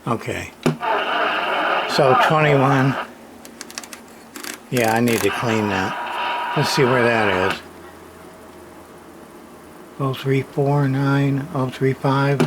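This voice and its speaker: A man giving a casual hands-on repair demonstration, muttering to himself in halting short phrases with plain, folksy, mildly salty wording. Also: medium pitch. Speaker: radio repairer, channel host. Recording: clearly noisy room recording, background ambience.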